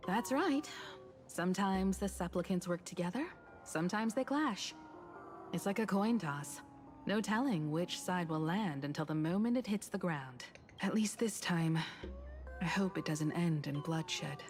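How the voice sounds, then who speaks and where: A woman playing a poised, knowing guide with dry humour in an anime-style game, composed and deliberate. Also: fairly low pitch, lively intonation, a little mellow, soft background music. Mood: calm, knowing.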